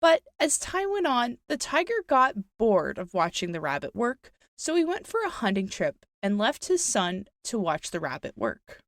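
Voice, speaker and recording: Lady podcaster telling folktales, really lively up and down delivery, super dry close sound, little wry asides. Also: medium pitch, moderate pace, hardly any pauses, dry recording. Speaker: podcast host. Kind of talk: casual chat and folktale storytelling.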